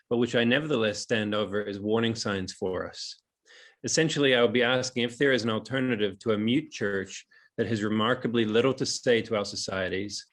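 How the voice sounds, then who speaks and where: A man in his forties with a New Zealand accent softened toward transatlantic, reading a prepared talk over a home video call in a measured way. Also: on the low side. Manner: hesitant and chatty at the start, then reads measured prepared text. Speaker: webinar speaker. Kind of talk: reflective prepared talk on a webinar. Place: room in his house overlooking a lough.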